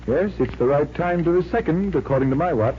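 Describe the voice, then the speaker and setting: An actor in a 1940s radio mystery playing a genial, self-assured and skeptical gentleman magician detective. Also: fairly high pitch, expressive, fairly quick, natural voice. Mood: brisk, curious. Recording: dull, noisy 1940s radio recording.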